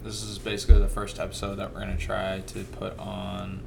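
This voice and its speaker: A man's voice: low voice, completely flat delivery, brisk, gravelly, background noise. A young man hosting a casual podcast, talking loosely with lots of fillers and jokes. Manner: loose and joking, many fillers. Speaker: podcast host.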